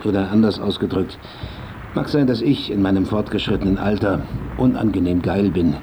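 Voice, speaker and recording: An actor playing an aloof, irritable older writer, curt and brooding, in a German radio play. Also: male voice, sits low, moderate pace, noisy background, natural voice. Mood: irritated. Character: aging vain male writer, aloof, irritable, secretive.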